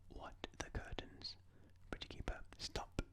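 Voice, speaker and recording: Casting: ASMR host for whispered quote readings, breathy and unvoiced, very unhurried delivery.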